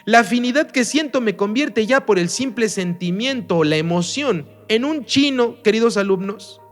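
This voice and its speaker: A man with a high, very animated voice gives a religious lesson. He speaks emphatically and keeps putting rhetorical questions to his students, with faint music under him. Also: normal speed. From Mexico. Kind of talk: recorded online lesson.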